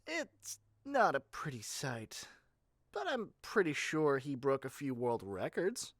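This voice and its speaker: Performer as a frazzled, cynical sales rep for an audio roleplay; mid-pitched, lively swoops, chatty theatrical asides, dry recording. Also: slow pace, dry recording. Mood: casually menacing. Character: eager, shady, frazzled yandere matchmaking salesperson, cynical and fast-talking. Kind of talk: scripted audio roleplay monologue to one listener.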